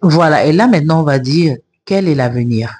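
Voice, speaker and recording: Higher-voiced male interviewer. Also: animated, fairly quick, darkish tone, a little hiss or hum. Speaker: interviewer, host. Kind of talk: conversational interview questioning.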